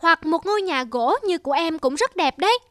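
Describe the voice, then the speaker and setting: A woman's voice: extremely high pitch, lively intonation, a little fast, a bit bright, dry recording, studio quality. A woman voicing a carefree, boastful young rabbit brother in a children's cartoon, exclamatory and sing-song. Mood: teasing.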